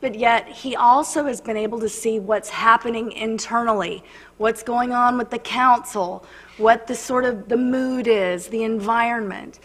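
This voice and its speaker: A woman on a city council, slightly hoarse, earnestly making her case point by point at a meeting. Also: fairly high pitch, fairly even intonation, a little slow, a bit bright. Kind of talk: formal remarks at a council meeting. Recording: long reverb of a council chamber.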